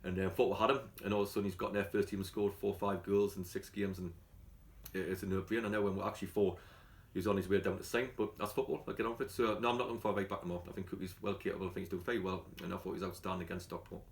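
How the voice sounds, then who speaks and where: London man, football manager, rapid-fire delivery, lowish pitch, colloquial and chatty, casual interview. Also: steady tone, vocal fry. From London, England. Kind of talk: casual press catch-up interview.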